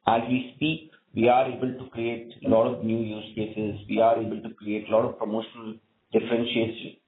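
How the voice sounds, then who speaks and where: A man with a slightly low, gravelly voice explains business results like an executive answering analysts. He often tags statements with a questioning "right?". The sound is a narrow, phone-like conference line. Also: flat delivery, a little fast, reverberant, a crowd in the background. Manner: frequent "right?" check-ins. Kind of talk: earnings call answers, explanatory business talk. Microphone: conference call line.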